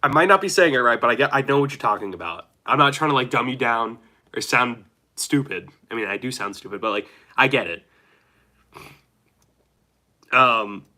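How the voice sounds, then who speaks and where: Young male streamer, congested and nasal, bright tone, loose rambling delivery. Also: mid-range voice, lively intonation. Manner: rambling, chatty, reads chat aloud haltingly. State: nasal and dry-throated from a cold. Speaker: livestreamer. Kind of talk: casual live stream chat with viewers.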